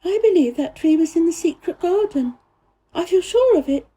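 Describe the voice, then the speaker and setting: A woman's voice: very high-pitched, animated, moderate pace, dark tone, voice pushed up. A woman voicing a prim, curious, lonely little girl in a children's storybook, speaking in a direct way. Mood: certain. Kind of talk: storybook character reading.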